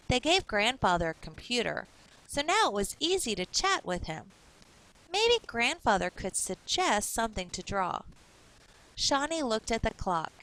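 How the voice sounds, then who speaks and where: A woman teacher reading a story aloud for children in a clear, instructive way. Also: mid-range voice, a bit bright, rough recording.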